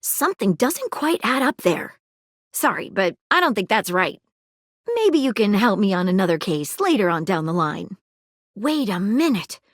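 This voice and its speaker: A woman's voice: mid-range voice, sing-song, normal speed, no echo, clean studio sound. A woman voicing an eager, inquisitive young female detective in a video game, earnest and full of facts. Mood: analytical, then excited. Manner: earnest, inquisitive, fact-loving. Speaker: voice actor. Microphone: studio mic, dry booth.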